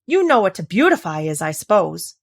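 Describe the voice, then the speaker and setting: A woman's voice: fairly low pitch, very animated, a little fast, gravelly, exaggerated voice. A woman voicing a brusque, bossy male gryphon from a storybook, with blunt, slangy exclamations. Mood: shocked, scornful. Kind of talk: storybook character voice.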